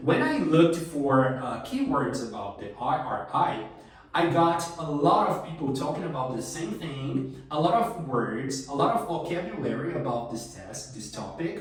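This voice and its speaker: A man's voice: medium pitch, normal speed. Brazilian man in an echoey room. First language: Portuguese. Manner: relaxed, with hesitations and restarts. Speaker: host. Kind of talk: casual conversational English practice. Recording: very echoey room, distant mic.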